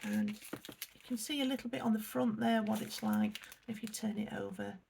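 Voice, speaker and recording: Gravelly-voiced English woman. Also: mid-range voice, steady tone, moderate pace, a little mellow, slight background noise. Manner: chatty, apologetic, reads product blurbs aloud. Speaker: unboxing vlogger. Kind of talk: casual chat while unboxing.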